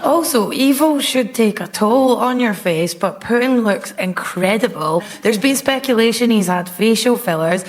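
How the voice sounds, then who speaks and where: A woman's voice: fairly high pitch, lively intonation, moderate pace, few pauses. A young adult woman giving conversational, stand-up style comic commentary on a panel show. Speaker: comedy panellist.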